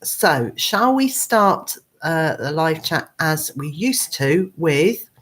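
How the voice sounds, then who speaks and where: A woman with a hoarse, croaky voice, hosting a casual live chat from her computer at home, rambling with many ums and uhs. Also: low voice, big pitch swings, slow pace. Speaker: gardening channel host.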